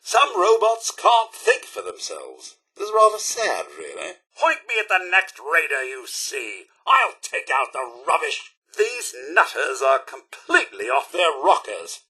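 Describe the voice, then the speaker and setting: A polite, fretful robot butler with a British accent, as a video game companion. The voice is bright and forceful, swooping expressively through each phrase. The delivery is formal and deferential, with a loyal, fussy servant's theatrical air. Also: male voice, a little high, wildly swooping pitch, a little slow, hardly any pauses, breathy edge, full character voice. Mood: disdainful, rueful.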